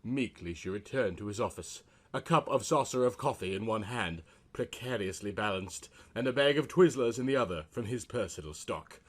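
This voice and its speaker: A man's voice: on the low side, lively intonation, moderate pace, hardly any pauses. A man narrating a comic story in a theatrical, mock-romantic style.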